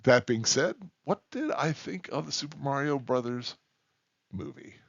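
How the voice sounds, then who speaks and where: A man giving an unscripted, rambling film review in a halting, deliberate way with emphatic stresses. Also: medium pitch, lively intonation, creaky, fairly bright tone, no echo, highs cut off.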